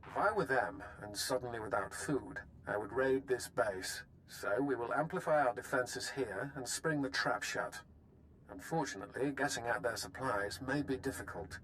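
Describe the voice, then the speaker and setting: An actor playing a resolute, ruthless military strategist in a video game, speaking formally and sternly. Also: male voice, mid-range voice, moderate pace, fairly bright tone, slight background noise, close to an everyday voice, rough recording. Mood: resolute, briefing. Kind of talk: scripted game dialogue.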